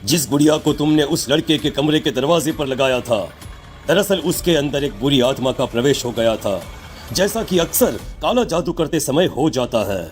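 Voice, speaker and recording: A stern holy man of the occult, acted by a man for a cartoon horror tale. He speaks solemnly and commandingly in a fairly high male voice, accusing as he goes, with music underneath. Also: lively intonation, moderate pace, lightly acted. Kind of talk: Hindi cartoon horror story acting.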